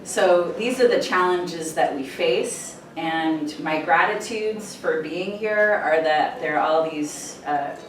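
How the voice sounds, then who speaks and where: A woman with a low voice addresses a conference crowd. She projects out to the room with an earnest, engaging manner. Her voice echoes around a large hall, picked up from far off with constant room noise. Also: takes it slowly, hardly any pauses. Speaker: conference presenter, program manager. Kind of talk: conference presentation.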